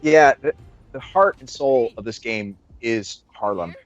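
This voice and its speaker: A man's voice: mid-range voice, a little slow, highs cut off, faint music behind. A man answering interview questions as a game developer, earnest and listing details one by one.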